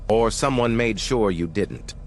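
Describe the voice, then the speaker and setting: An actor playing a tough, cynical 1940s private eye in a noir radio drama, clipped, sardonic and confrontational. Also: male voice, on the low side, unhurried, slight background noise. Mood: sardonic, pressing.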